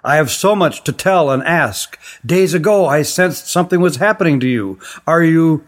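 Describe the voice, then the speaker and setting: A man voicing an earnest young man in a storybook, speaking warmly and eagerly. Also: on the high side, moderate pace, close to an everyday voice. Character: young man woken from sleep, kind and earnest.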